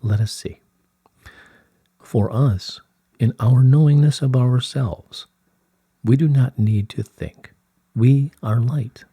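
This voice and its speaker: A man reading the part of a serene, wise collective of light beings in formal, measured, solemn phrasing from a scripted dialogue. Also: medium pitch, dark tone, breathy edge, no room sound, clean studio sound. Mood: patient guidance. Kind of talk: scripted channelled dialogue read aloud.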